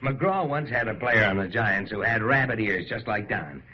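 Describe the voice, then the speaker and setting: An actor playing a hearty, good-natured big star ballplayer in an old radio drama. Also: male voice, fairly low pitch, expressive, normal speed, natural voice. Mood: amused, pleased. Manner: stammering when flustered, chuckling when pleased. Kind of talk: 1930s-set radio drama acting. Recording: dull, lo-fi old radio recording.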